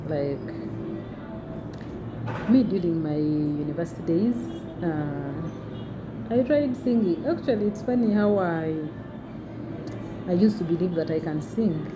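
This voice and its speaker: A young adult woman with a big, deep voice tells a personal story to her phone camera in a chatty way with many fillers. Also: animated, unhurried, long pauses, music in the background, low-quality recording. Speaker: vlogger. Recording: noticeable background noise.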